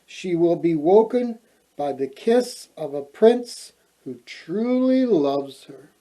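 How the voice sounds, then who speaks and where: A man voicing a tiny, kind female fairy from a storybook, gentle and earnest in a small voice. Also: fairly high pitch, expressive, slow pace, rough recording. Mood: gentle, hopeful.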